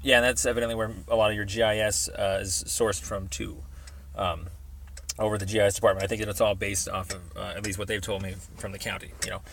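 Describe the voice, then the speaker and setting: A man's voice: lowish voice, flat delivery, creaky, fairly bright tone, a little hiss or hum. An American man in a casual phone conversation as a caller, rambling and hesitant, with many ums. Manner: hesitant, rambling, halting delivery thick with ums. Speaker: member of the public calling a city department.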